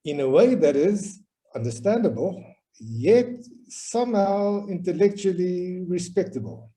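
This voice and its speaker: A man with a South African accent giving an academic lecture online, measured and wry. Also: a little high, warm tone, low-quality recording. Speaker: guest lecturer, professor. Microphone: video call.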